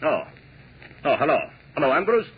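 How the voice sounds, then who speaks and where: An actor playing an imperious, jealous maestro in a 1940s radio drama, speaking formally and theatrically. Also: male voice, on the high side, very animated, normal speed. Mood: impatient. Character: imperious, jealous old maestro, adoring yet menacingly courteous husband. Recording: tinny, hissy 1940s radio recording.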